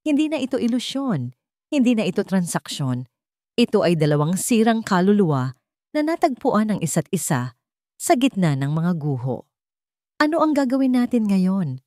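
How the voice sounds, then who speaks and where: A woman's voice: lowish voice, big pitch swings, normal speed, mellow, no echo, studio quality. A woman narrating a scripted romantic drama as a storyteller.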